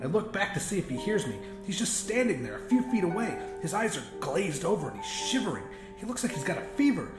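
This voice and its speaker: High-voiced man narrating horror with intensity. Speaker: audiobook narrator. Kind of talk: dramatic scripted first-person horror narration. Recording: roomy echo, music behind.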